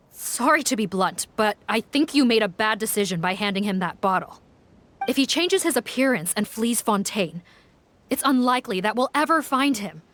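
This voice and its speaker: A woman's voice: mid-range voice, fairly bright tone, no echo, close to an everyday voice. A woman voicing a dutiful, blunt female captain of guards in a video game, formal and serious. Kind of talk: video game character dialogue.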